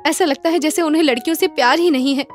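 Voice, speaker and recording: A woman voicing a gentle young daughter-in-law with an earnest, pleading manner, in a moral story. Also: sits high, fast, faint music behind, voice pushed up, natural voice. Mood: questioning.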